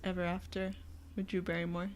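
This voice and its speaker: Raspy young woman, low easy voice. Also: brisk, slight background noise. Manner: relaxed, many fillers and likes. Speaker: podcast co-host. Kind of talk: casual faith-based chat.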